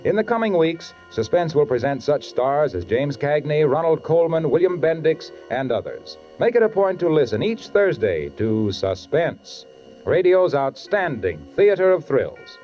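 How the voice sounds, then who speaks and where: Over a dull old radio broadcast with faint music, a male announcer pitches in a folksy, punchy way. His voice sits fairly high and moves in lively swings. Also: measured pace. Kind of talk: 1940s radio advertising and announcing.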